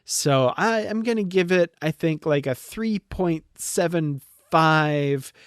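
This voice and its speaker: A man's voice: a little high, takes it slowly, clear, resonant tone, no echo. Casual male podcast host, dry close sound. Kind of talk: casual review chat.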